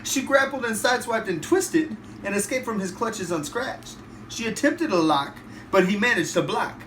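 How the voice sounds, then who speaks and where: A man, a father, reading a rhyming bedtime storybook aloud in an animated way. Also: high-pitched, normal speed. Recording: roomy, distant, noisy home recording.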